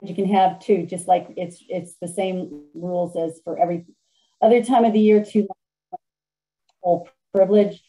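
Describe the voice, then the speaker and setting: A woman's voice: lowish voice, fairly even intonation, moderate pace, warm tone, low-quality recording. A woman with a Midwestern American accent moderating a webinar on a video call, relaying questions in a hesitant way with many ums. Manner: hesitant, filler-heavy.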